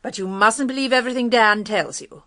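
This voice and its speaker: A woman's voice: a little high, fairly quick, sharp tone. An actress playing a chatty, gossipy married woman in a radio mystery, airy, rambling and breezily opinionated. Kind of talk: British radio mystery drama.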